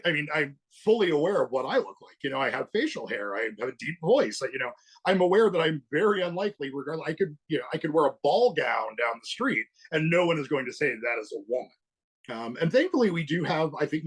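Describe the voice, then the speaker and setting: A man in a casual podcast chat, explaining in a measured, thoughtful way. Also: on the high side, slightly rough. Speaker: podcast co-host or guest.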